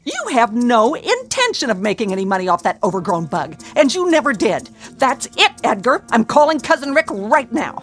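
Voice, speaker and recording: An actress playing a scornful, sarcastic, nagging wife in a radio drama. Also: female voice, fairly high pitch, sing-song, moderate pace, hardly any pauses. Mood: angry, contemptuous. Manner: biting sarcasm.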